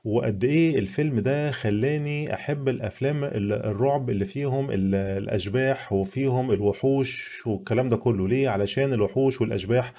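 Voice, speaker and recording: A young Egyptian-accented man talks his viewers through a film review at a brisk clip. His voice is dark and mellow, and he sounds chatty and earnest, as if speaking straight to a friend. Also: mid-range voice. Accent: Egyptian Arabic. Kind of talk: casual spoken film review.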